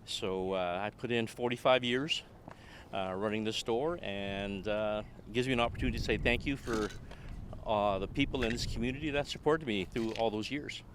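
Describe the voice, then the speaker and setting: Older canadian guy, low-ish voice, chuckles a lot, bit of street noise. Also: normal speed, a bit bright. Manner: chuckling, self-deprecating. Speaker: guest. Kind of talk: casual storytelling.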